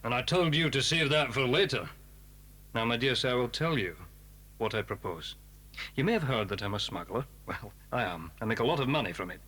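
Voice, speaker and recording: An actor playing a calculating smuggler villain in a children's audio drama, smoothly courteous with an edge of menace. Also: male voice, medium pitch, animated, bright, forceful tone. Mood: persuasive, smug. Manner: courteous, unhurried persuasion with menace.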